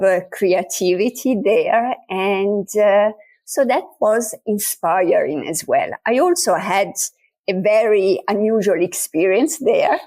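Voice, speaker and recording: A woman talks about her writing in an interview. She speaks slowly and thoughtfully, filling her pauses with soft fillers. Her pitch sits in the middle range. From Italy. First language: Italian. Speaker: author guest. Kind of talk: interview conversation.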